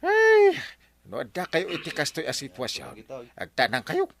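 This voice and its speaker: Animated, exasperated Ilocano village captain. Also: male voice, on the high side, moderate pace, exaggerated voice. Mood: scolding. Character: exasperated village captain, a scolding man in authority. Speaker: voice actor in a radio drama. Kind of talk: Ilocano radio comedy-drama acting.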